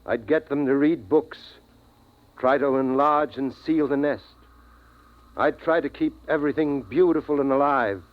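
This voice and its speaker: An actor playing a steadfast, philosophical middle-aged father, speaking in an earnest, measured, dramatic 1950s radio style. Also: medium pitch, lightly acted. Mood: resolute, reflective. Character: steadfast, philosophical father, last man guarding his family. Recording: dull vintage radio recording.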